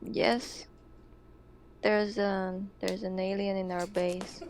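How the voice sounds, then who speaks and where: Slow, gravelly-voiced giggly woman. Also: mid-range voice, little pitch movement, background noise. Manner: giggly, hesitant restarts. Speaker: player. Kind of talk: playing a tabletop game on a call.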